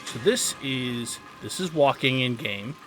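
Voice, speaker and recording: A man's voice: medium pitch, lively intonation, measured pace, a bit bright, soft background music, low-quality recording. A man hosting a gaming video, giving instructional tutorial commentary and stressing his key advice.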